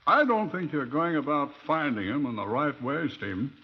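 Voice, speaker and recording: A man's voice: on the high side, sing-song, normal speed. A man acting a wry, bookish adviser in a radio drama, musing and dry. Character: wry, bookish male adviser, unhurried and droll. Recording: muffled old radio recording.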